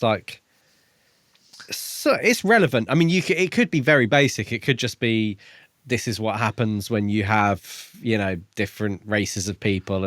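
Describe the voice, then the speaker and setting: Low-voiced man, tentative casual podcast chat. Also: fairly bright tone, no echo, clean studio sound. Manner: tentative, halting, thinking aloud. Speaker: podcast co-host. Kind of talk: casual book discussion chat.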